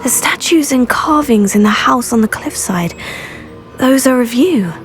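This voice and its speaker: Game casting: a schoolgirl witch, courteous and curious, fairly high voice, light music behind. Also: lively intonation, a little slow. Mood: respectful, curious. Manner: polite, earnest, inquisitive. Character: polite, inquisitive teenage witch student. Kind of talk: video game character dialogue.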